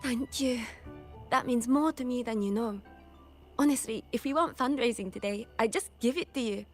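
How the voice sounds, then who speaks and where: A woman's voice: fairly high pitch, normal speed, faint music behind, lightly acted. A woman voicing a feisty, dramatic young inventor and big sister in a video game. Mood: eager, friendly. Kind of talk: animated game voice acting.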